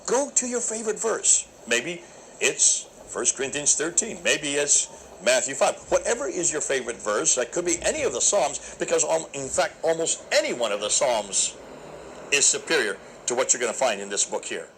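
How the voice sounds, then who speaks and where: A man recounting a debate in an animated, emphatic, preacherly way, with a naturally loud voice. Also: a little high, moderate pace, slight background noise, low-quality recording. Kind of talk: storytelling talk.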